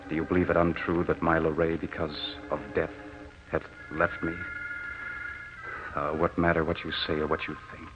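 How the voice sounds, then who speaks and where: An actor playing a grieving, devoted young war veteran, solemn and poetic, in an old radio drama. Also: male voice, rumbling low, flat delivery, normal speed, noisy background. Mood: solemn, impassioned. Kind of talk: 1940s radio drama acting. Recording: muffled old radio recording.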